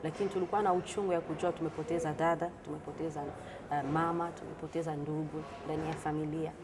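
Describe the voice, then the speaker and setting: A middle-aged woman from the Democratic Republic of the Congo speaks as an interview guest. Her voice sits on the low side, and she moves between languages in a conversational way. Clear background noise runs behind her. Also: level tone, racing. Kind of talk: conversational interview, code-switching. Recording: clearly noisy background ambience.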